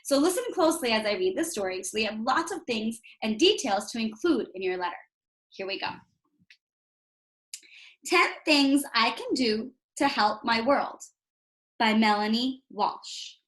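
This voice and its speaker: A woman's voice: on the high side, a little slow. A woman reading a picture book aloud to young children like a teacher, expressively, with explanatory asides and questions to her listeners. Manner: animated, instructive, asks rhetorical questions of young listeners.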